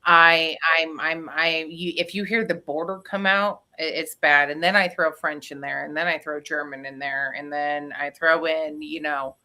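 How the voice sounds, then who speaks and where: A woman chats on a casual live show, her voice bright and forward while sitting a touch low in pitch. She talks in loops, restarting phrases as she goes. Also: fairly even intonation, moderate pace, hardly any pauses. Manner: chatty, restarts often, laughs between lines. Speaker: co-host. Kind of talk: casual chat.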